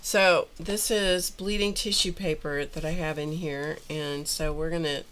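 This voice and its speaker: A woman with a notably low voice talks casually while crafting, thinking aloud as she goes. Her voice sounds a little congested. A faint hiss sits under the recording. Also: fairly even intonation, measured pace, fairly bright tone. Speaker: livestream art host. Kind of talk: casual craft livestream chat. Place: home art studio.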